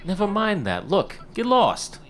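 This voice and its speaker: An animated, eager radio-drama striver. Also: male voice, high voice, measured pace, exaggerated voice. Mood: brusque, scheming. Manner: wisecracking, pleading, stammering when flustered. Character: eager, fast-talking struggling screenwriter working a hotel desk. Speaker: actor in an audio drama. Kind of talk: period radio drama acting.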